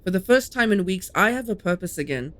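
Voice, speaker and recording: A woman's voice: mid-range voice, lively intonation, fairly quick, a bit bright, lightly acted. Actress as proud female paladin, defiant, dry sardonic edge, mid-range voice, fantasy audio drama.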